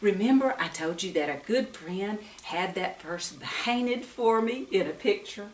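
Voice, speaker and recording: A woman's voice: medium pitch, animated, a little slow, slight background noise. A woman talking and reading to young children as a storyteller and Bible teacher, in a sing-song way with questions put to the listeners. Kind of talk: read-aloud storytime talk to young children. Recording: roomy echo, distant microphone.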